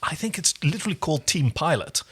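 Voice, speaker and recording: A man's voice: fairly high pitch, sing-song, fast, fairly bright tone, breathy edge. A man co-hosting a podcast, recapping an episode in casual conversation, enthusiastic and often searching for his words. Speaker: podcast co-host.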